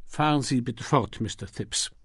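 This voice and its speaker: A man voicing a stern, easily indignant male coroner in an audiobook, brisk and commanding as he questions. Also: mid-range voice, breathy edge, no room sound, studio quality. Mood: brisk, official. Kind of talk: audiobook character dialogue.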